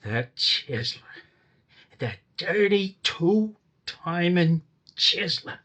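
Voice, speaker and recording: An actor playing a nervous small-time crook under questioning, whining and stammering in a radio drama. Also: male voice, sits high, expressive, slow, bright, forceful tone, heavily acted. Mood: bitter. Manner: whiny and stammering.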